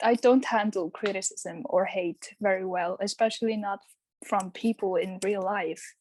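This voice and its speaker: A woman's voice: mid-range voice, a little mellow, low-quality recording. A young woman from Iceland, talking casually as an interview guest, chatty and full of ums and restarts. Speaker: guest YouTuber.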